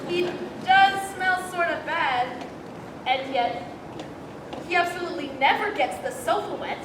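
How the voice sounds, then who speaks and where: Teen girl as quirky child, very high-pitched. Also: unhurried, crowd noise. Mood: fond, quirky. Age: teens. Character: child fondly presenting an oddball pet and family. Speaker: student stage actor. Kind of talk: rhymed theatrical stage recitation. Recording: echoey hall, distant mic, audience noise.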